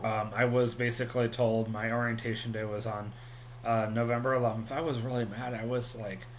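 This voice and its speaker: A man's voice: mid-range voice, flat delivery, fairly quick, slight background noise. A man in his thirties talking casually on his solo vlog at home, rambling with many ums and restarts. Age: 30s. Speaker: vlogger.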